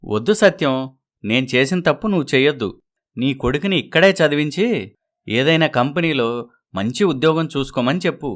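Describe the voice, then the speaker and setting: A man's voice: on the high side, animated, fairly quick, lightly acted. Grave, regretful elderly village father played by a man. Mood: grave advice. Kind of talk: animated moral story dialogue.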